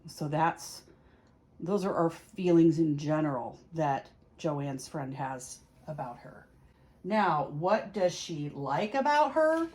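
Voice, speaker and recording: Slow-paced woman host chatting casually, lowish voice. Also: husky. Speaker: tarot reader and video host. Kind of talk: casual explanatory chat to camera.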